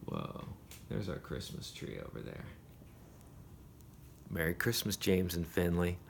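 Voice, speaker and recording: Older man, low-pitched voice, gentle grandfatherly storytelling, reading verse to small children, faint background noise. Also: lively intonation, a little slow, vocal fry. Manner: gentle, addressing young children, with short explanations. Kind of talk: read-aloud storytelling of a classic poem with short asides.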